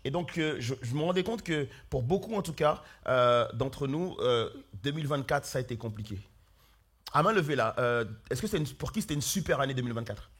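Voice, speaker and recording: A man preaching a sermon as pastor, hoarse with flu, earnestly calling out questions to his congregation. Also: medium pitch, animated, a little fast. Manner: earnest, calls out questions to the congregation, many fillers and restarts. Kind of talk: sermon with opening prayer. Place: church hall.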